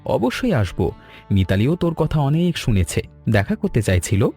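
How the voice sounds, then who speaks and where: A man voices an affectionate young man home in his village, in a cartoon story. His pitch moves in lively swings within a mellow, mid-range voice, over light music. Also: moderate pace, slightly rough. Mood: nostalgic, friendly. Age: young adult. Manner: affectionate, familiar.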